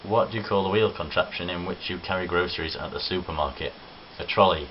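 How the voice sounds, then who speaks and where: A young man with a Midlands accent from Nottinghamshire, talking in a very low voice. He rambles through a casual vlog with self-deprecating asides. The audio is dull and lo-fi, with a faint hiss underneath. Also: fast talker. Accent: English Midlands (Nottinghamshire). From Nottinghamshire, England. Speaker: vlogger. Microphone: camera on tripod.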